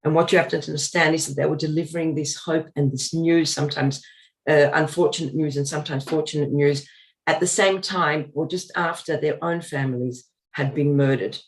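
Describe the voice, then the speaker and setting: A man's voice: fairly high pitch, fairly even intonation, fairly quick. A man giving an earnest community lecture, partly read from notes, with frequent ums and uhs as he explains. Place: synagogue talk.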